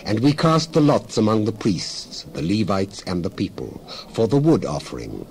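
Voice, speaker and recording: A man reading scripture aloud as a dramatized narration, in solemn, measured phrases. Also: fairly low pitch, sing-song, a little mellow, a little hiss or hum.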